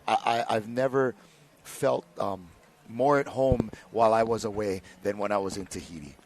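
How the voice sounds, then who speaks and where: A man's voice: fairly low pitch, normal speed. A man from Hawaii doing live race commentary, reading results and sharing stories with enthusiasm. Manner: warm, enthusiastic storytelling between race calls.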